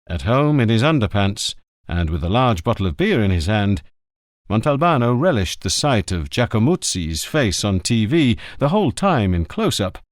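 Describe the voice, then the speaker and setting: A man reading a crime novel aloud as the narrator, with expressive delivery. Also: lowish voice, normal speed, no echo, studio quality. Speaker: audiobook reader. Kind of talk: scripted narration.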